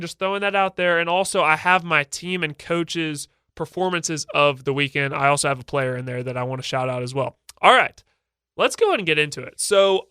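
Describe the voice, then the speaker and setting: Congested bright-voiced male sports host. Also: on the high side, clean tone, no room sound. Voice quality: nasal. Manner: confident, opinionated, energetic. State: head cold, congested. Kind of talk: solo sports talk show.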